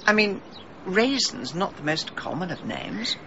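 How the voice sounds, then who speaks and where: A worldly middle-aged woman sleuth with a low, forceful voice. Her delivery swoops expressively, dry, rueful and probing, as in a radio drama. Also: sing-song, a little slow, dull audio, slight background noise, exaggerated voice. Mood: pointed, probing. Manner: dry, knowing, pointed. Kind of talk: British radio drama acting.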